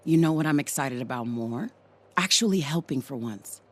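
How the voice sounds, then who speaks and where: A woman's voice: a bass voice, animated, moderate pace. A woman playing a brainy young scientist in a video game, with a dry, casual, sarcastic delivery. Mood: hopeful, casual. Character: wry, brainy young female scientist, sarcastic but hopeful.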